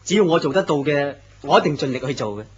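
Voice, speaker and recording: Man voicing a jittery polite young clerk, slightly high voice, dull sound. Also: animated, fast talker, close to an everyday voice. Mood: deferential. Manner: deferential, anxious. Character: nervous, polite young male office worker. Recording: dull lo-fi recording.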